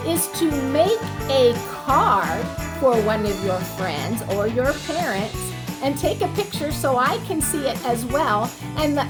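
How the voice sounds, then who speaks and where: A woman reading a picture book aloud for young children in an expressive teacherly way, pausing to explain words simply. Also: high voice.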